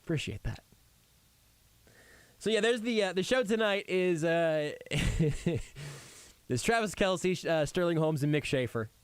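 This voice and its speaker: A man hosting a sports radio show, joking around in animated, self-deprecating on-air banter from a radio studio microphone. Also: fairly high pitch, measured pace, long pauses, smooth, dry recording.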